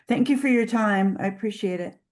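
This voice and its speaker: A woman's voice: mid-range voice, a little fast, darkish tone. A woman guest presenter wrapping up a remote talk, hesitant, with fillers and restarts.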